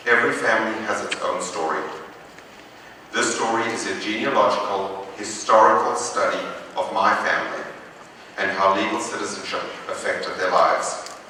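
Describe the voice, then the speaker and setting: A man giving a prepared, read-aloud presentation in a lecture hall, measured and deliberate, slowing down for emphasis. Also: low-pitched, fairly even intonation, sharp tone. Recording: echoey hall from far off with faint noise.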